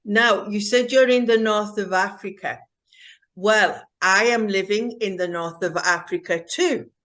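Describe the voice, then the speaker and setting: Female interviewer, bright voice, patient and clear, like a teacher. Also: mid-range voice. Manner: slow, clear, teacherly questions. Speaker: host. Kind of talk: English-learning interview chat.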